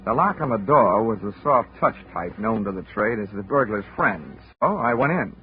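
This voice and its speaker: An actor playing a wisecracking, unflappable male insurance investigator in old-time radio style, with a wry, deadpan, commanding delivery. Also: fairly low pitch, moderate pace, a little hiss or hum, close to an everyday voice. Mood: dry narration. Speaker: detective lead and narrator. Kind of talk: old-time radio detective acting, hard-boiled narration. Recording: muffled vintage radio broadcast, music.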